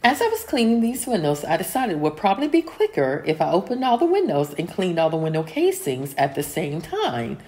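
A middle-aged woman giving a calm, step-by-step voice-over of her home cleaning routine. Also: on the low side, brisk, hardly any pauses. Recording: voice-over with soft music behind.

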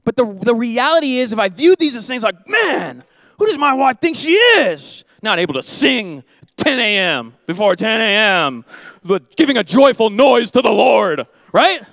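A man in his thirties preaching a sermon on a church stage into a handheld mic, animated and joking, then dropping to soft earnest tones. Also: very high voice, moderate pace, gravelly, no room sound, studio quality. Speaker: pastor.

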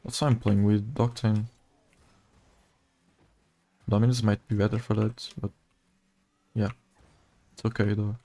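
A gamer with a Portuguese accent chats casually over his own play. His voice is a man's, on the low side, with a soft, mellow tone. He keeps it flat and low-key, as if half talking to himself. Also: measured pace, pauses often. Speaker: gaming video creator. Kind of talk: casual gameplay commentary.

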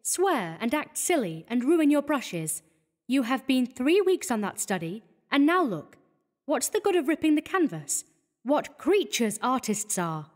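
A man reading aloud, voicing a young woman who is an artist's model, lively and chiding. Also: extremely high pitch, measured pace, long reverb tail, voice pushed up, full character voice. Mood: scolding.

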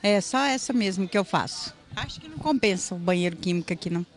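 A woman's voice: mid-range voice, expressive, fast talker, faint music behind. A woman, a market vendor talking frankly at her stall at night into a handheld mic. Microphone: handheld mic held by interviewer.

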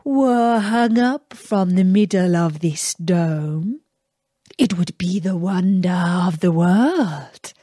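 A woman voicing a wicked male magician disguised as a holy woman, speaking slowly and slyly. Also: lowish voice, lively intonation, warm tone, no room sound, studio quality. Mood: scheming.

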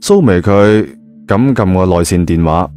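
A man with a Cantonese accent narrating an audio drama in a lively, colloquial storytelling style. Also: low-pitched, unhurried. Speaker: audio drama narrator, also voicing the male lead. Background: background music.

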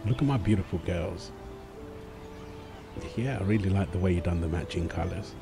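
Low-voiced doting young husband played by a man. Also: normal speed, gravelly, over music. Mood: appreciative, affectionate. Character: doting young husband and new father, tender, playful. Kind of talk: ASMR boyfriend roleplay.

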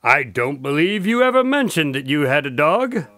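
An actor playing a doctor who tells his cases to a visitor, speaking in a measured, somber way. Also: on the high side, big pitch swings, pushed and bright, no room sound, studio quality. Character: Dr. Watson's grandson, a doctor who narrates cases. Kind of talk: audio drama acting.

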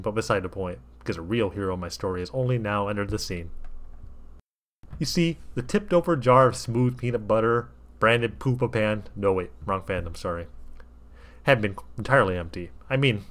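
A man's voice: lowish voice, a bit bright. A man reading comic fan fiction aloud as a storybook narrator, with wry, theatrical asides to the listener.

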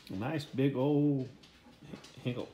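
An older American man talks casually to his phone, wandering from point to point in folksy, plain-spoken style. The sound is echoey, with faint noise behind his voice. His pitch sits in the middle range for a man. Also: takes it slowly, mellow, highs cut off. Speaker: vlogger. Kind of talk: casual rambling walk-through commentary. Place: inside a house under renovation, later outdoors. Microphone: handheld phone. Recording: echoey rooms, background noise, handheld.

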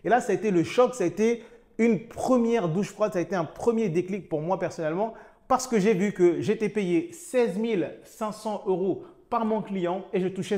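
A man with a fairly high voice talks straight to the camera about making money, in the manner of an online coach. He addresses the listener directly and often stops to ask them questions. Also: darkish tone. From France, raised in Côte d'Ivoire. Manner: addresses viewer informally, with repeated questions and restarts. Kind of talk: direct-to-camera motivational talk.

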